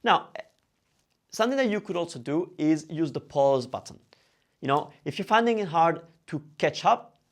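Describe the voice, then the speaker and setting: Guy teacher explaining stuff step by step, bit high pitched, gravelly fry in the voice. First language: Italian. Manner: explains step by step with emphatic stresses and check-ins. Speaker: language teacher and host. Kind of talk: instructional talk to camera.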